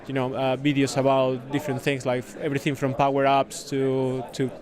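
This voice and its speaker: A man from Spain with a Spanish accent, a native Spanish speaker, explaining a product in a casual interview with halting, repeated words. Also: mid-range voice, completely flat delivery, a crowd in the background. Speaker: software consultant demonstrating a product, interviewee. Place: busy conference venue.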